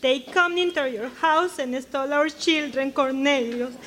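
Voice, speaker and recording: A woman's voice: high voice, steady tone, unhurried, fairly bright tone. A woman playing an anguished mother pleading with her husband in a radio drama.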